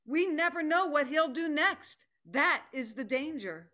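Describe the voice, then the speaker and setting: Woman acting a slow, high-voiced commanding tigress. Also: animated, bright, forceful tone, exaggerated voice. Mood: grim resolve. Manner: deliberate, commanding. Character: fierce female tiger, stern, determined hunter. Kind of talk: dramatic play reading. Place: home room, video call.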